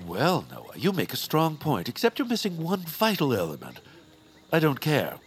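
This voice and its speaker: An actor playing a pompous, greedy land baron with a theatrical, sneering manner in a comic audio drama. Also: male voice, a little high, wildly swooping pitch, normal speed. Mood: smug.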